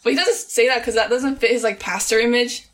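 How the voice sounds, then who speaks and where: A young woman telling stories casually among friends, chatty and quick to giggle. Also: on the high side, noisy background. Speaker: friend in a group chat. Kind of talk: casual chat.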